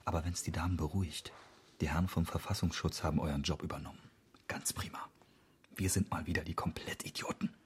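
An exasperated male police officer from a radio crime drama. The voice is deep and thoroughly husky. He grumbles and scolds with dry sarcasm. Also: fairly even intonation, brisk, whispered, heavily acted. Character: exasperated male police colleague, sardonic, grumbling but loyal.

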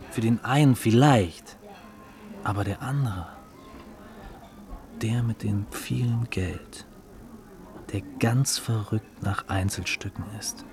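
An actor playing a cool, cynical male dealmaker in a German radio drama, taunting and deliberate, with a superior, mocking manner. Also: fairly low pitch, a little mellow. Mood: smug, calculating.

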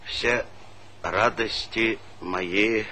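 A weary, fatherly village boss in a stage drama. His lowish voice has a forceful edge, yet he speaks slowly and reflectively with long pauses, on a slightly noisy old recording. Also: steady tone, heavily acted. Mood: reflective, heartfelt. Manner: measured, pausing, plain-spoken. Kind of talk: theatrical stage-play dialogue.

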